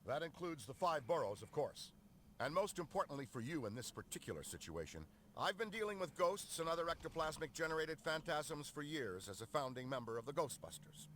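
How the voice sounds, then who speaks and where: An eager, nerdy paranormal scientist, pitched a bit high and bouncing all over with enthusiasm. He is wordy, technical and proud of his expertise. Faint park ambience sits behind him. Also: sing-song, brisk, a bit bright. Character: eager, nerdy male paranormal scientist, earnest and self-important. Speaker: game character, ghost hunter. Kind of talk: scripted game voice acting. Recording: game dialogue over park ambience noise.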